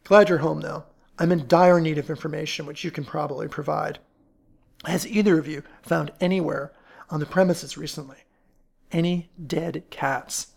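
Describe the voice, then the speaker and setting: A man's voice: on the high side, fairly even intonation, normal speed, creaky, darkish tone. A man playing a composed, clever amateur detective in an audiobook, speaking in an assured, incisive manner. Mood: brisk, questioning.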